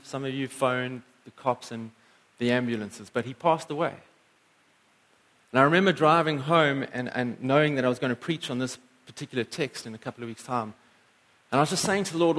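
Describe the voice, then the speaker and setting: Earnest man preaching a sermon. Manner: earnest, rises and falls in pitch for emphasis. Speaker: pastor. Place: church.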